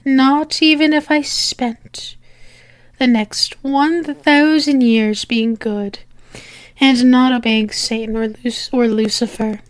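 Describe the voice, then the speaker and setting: Actress for female demon in love, ASMR roleplay: high pitch, earnest halting pleas, close dry sound. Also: fairly even intonation, no room sound. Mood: earnest, persuasive. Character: lovesick female demon pleading with angel lover. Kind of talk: intimate ASMR roleplay acting.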